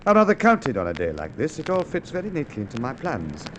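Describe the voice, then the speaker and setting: A man's voice: mid-range voice, quick pace, background noise. An actor playing a polite, scheming man who murders his business partner, in an old radio drama. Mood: cool menace. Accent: Australian. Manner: calm, polite and menacing. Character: cold scheming male murderer, smooth and polite. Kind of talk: 1950s radio drama acting.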